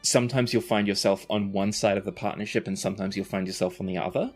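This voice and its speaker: Male game master, low voice, improvised conversational narration over music. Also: fairly even intonation, fast talker, a little mellow, breathy edge.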